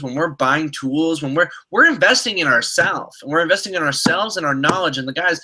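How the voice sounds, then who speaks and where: A man's voice: a little high, pushed and bright, a little hiss or hum, low-quality recording. A man with a stuffed-up, slightly nasal voice, giving a motivational coaching talk on a video call and often restarting his sentences. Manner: repetitive, restarting, pep-talk tone. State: stuffed-up nose. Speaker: online marketing coach.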